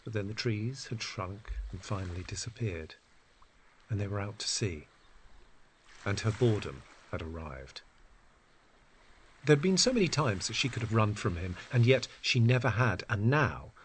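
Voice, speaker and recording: A man reading a literary story in an expressive, measured, dramatic style. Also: on the low side, slight background noise. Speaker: narrator. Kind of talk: dramatic literary story narration, acting out dialogue.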